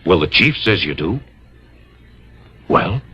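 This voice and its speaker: A man acting a pleading, exasperated official questioning a youngster in an old-time radio drama, his voice husky. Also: low-pitched, big pitch swings, takes it slowly, heavily acted. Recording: dull vintage radio recording.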